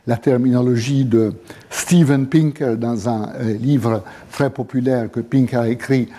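A middle-aged man whose first language is Italian, lecturing with frequent pauses and hesitations. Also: mid-range voice, fairly even intonation, dark tone. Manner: hesitant, many pauses and fillers. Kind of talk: university lecture on linguistics.

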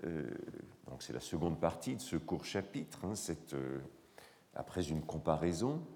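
A man with a cold lecturing in a hall, his voice hoarse, his speech halting with frequent pauses and fillers. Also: very deep voice, big pitch swings, warm tone. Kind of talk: academic lecture.

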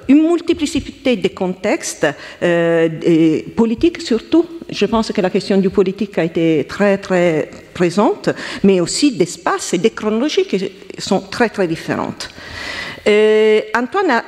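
A woman with an Italian accent giving halting, self-correcting academic remarks as a round-table panelist. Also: mid-range voice, a little mellow. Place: lecture hall. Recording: long hall reverb.

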